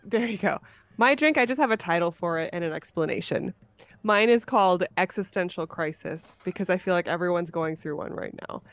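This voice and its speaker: A woman hosting a podcast in casual chat, informal and quick to laugh. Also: lowish voice, no echo. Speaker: podcast host.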